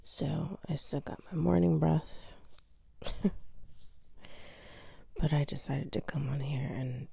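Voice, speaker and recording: Raspy, gravelly-voiced woman just awake. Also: low voice, fairly even intonation, slow pace, long pauses, no room sound. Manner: soft, often half-whispered, hesitant with fillers. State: raspy just-woken morning voice. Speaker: podcast host. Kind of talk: casual solo storytelling.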